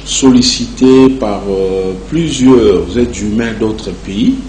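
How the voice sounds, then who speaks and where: A man with a Congolese accent giving long, measured and halting answers as a guest in a television interview. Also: on the low side, a little mellow. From Democratic Republic of the Congo. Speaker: interview guest, entrepreneur and association president. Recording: echoey room, clear background noise.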